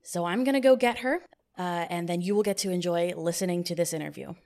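A woman podcast host running a mock-stern job interview in a deadpan manner, recorded in an echoey new studio. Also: fairly low pitch, fast.

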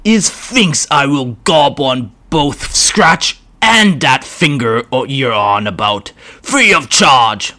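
A man voicing a huge, angry male orc boss who speaks slowly in gruff orc slang. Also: mid-range voice, animated, bright, forceful tone, voice pushed up, heavily acted.

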